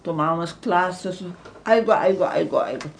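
An elderly woman with a German accent, recalling her past in an interview, halting and repeating words as she searches for them. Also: fairly low pitch, gravelly, a little hiss or hum. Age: older. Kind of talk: recounting memories in an interview.

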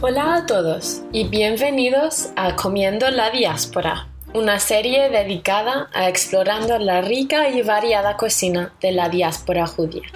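Young woman w an american accent, hosting her radio show, careful scripted reading, middle pitch. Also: lively intonation, faint music behind. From Connecticut, United States. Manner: careful, measured delivery with small self-corrections. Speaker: radio series host.

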